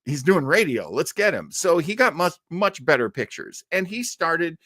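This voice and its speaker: An American man hosting a listening party with casual live commentary, chatty and halting as he rambles. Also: a little high, big pitch swings, bright, forceful tone, no echo, clean studio sound. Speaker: host of an old-radio listening party.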